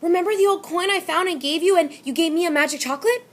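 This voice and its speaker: A woman voicing a desperate young boy who pleads loudly and urgently in a storybook reading. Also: extremely high pitch, fast talker, pitched above the performer's own voice. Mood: frantic.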